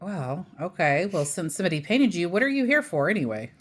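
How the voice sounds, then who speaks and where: A woman reading a children's picture book aloud in an expressive, playful way, with chatty asides. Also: lowish voice, brisk. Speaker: storytime host and narrator.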